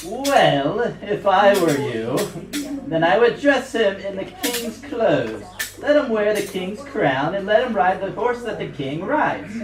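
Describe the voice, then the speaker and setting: A man's voice: high voice, very animated, slow, full character voice. A man voicing a wicked, self-important nobleman in an amateur puppet show, played melodramatically. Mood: smug. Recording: echoey room, distant mic, audience noise.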